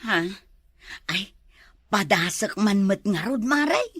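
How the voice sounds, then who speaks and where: A woman's voice: medium pitch, expressive, takes it slowly, fairly bright tone, slightly rough, no room sound, clean studio sound, exaggerated voice. A woman with an Ilocano accent acting a plain-spoken married village woman in a comedy skit. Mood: curious, surprised.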